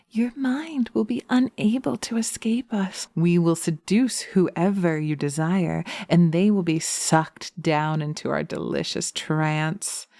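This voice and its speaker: A woman playing a seductive, vengeful witch in slow hypnotic audio roleplay, breathy and often whispering, teasing and commanding, close to the mic. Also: mid-range voice, mellow, no echo. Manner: sultry, drawn-out, teasing, commanding. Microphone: close microphone, dry binaural recording.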